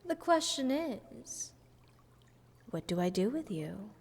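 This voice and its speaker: A woman's voice: mid-range voice, very animated, slow pace, a little mellow, slightly rough, exaggerated voice. A woman playing a playful, selfish goddess in a fantasy audio roleplay, talking down to a little mortal in a teasing, condescending way with whispered phrases. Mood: mocking pity. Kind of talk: scripted fantasy audio roleplay addressed to the listener. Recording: long hall-like reverb.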